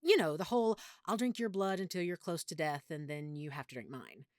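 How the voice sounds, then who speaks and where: A woman's voice: low-pitched, brisk, darkish tone, no room sound, clean studio sound, lightly acted. A woman playing an affectionate, opinionated girlfriend who is secretly a vampire, in an intimate, conversational audio roleplay. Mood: playful, opinionated. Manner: chatty, playful, geeky explaining.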